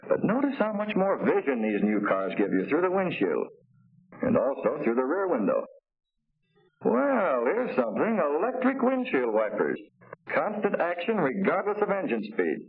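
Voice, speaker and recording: A man's voice: a little high, wildly swooping pitch, moderate pace, slightly rough, full character voice. An actor playing a practical, eager man admiring a new car in a chatty, emphatic 1940s promotional film style. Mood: eager, proud. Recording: tinny 1940 film soundtrack.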